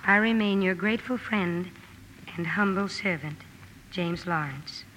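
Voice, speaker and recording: A woman's voice: lowish voice, unhurried, a bit bright. A woman acting a proud, headstrong, tomboyish young woman in a 1950s radio drama, speaking forthrightly. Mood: reading aloud. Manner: forthright, emphatic. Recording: noisy vintage radio recording.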